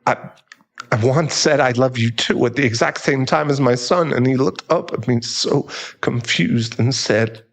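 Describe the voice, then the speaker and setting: A man playing a troubled divorced father and remorseful bully, halting and emotional, in an audio drama. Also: medium pitch, gravelly, lightly acted. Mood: anguished. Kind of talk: dramatic audio roleplay acting.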